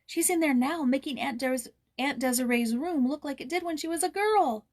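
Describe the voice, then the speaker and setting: A woman voicing an excited young boy who is bursting with happy news, in a children's storybook reading. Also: sits high, moderate pace, pitched above the performer's own voice. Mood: eager.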